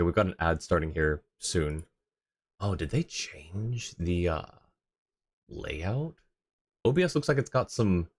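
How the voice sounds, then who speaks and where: A man with a low-pitched voice hosts a livestream. He talks casually and sociably with his audience, in a friendly, conversational way. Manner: friendly, chatty, thanks and greets viewers by turns. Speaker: live streamer, host. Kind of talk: casual livestream chat with viewers.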